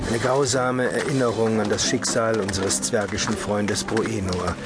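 Grave male dark elf narrator acted by a man. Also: lowish voice, steady tone, measured pace, over loud music, natural voice. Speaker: narrator and lead character. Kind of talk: dramatic scripted narration in German fantasy audio drama.

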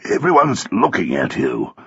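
Man as glum storybook husband, disapproving, low-voiced, muttering, reluctant, husky. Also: wildly swooping pitch, normal speed, bright, forceful tone, muffled recording, heavily acted. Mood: uneasy, reproachful. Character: glum, disapproving, easily embarrassed husband, stuffy and grumbling.

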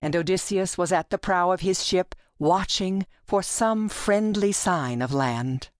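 A woman reads a literary tale as an audiobook narrator. Her voice sits a little low and she takes her time, phrasing each line deliberately. The studio sound is dry and close. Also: dry recording. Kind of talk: scripted literary storytelling narration.

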